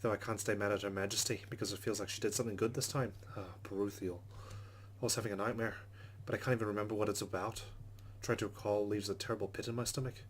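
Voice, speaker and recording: A man's voice: fairly low pitch, fairly even intonation, quick pace, slight background noise. A man hosting a let's play, reading game narration aloud dramatically and adding muttered, sweary asides. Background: game music.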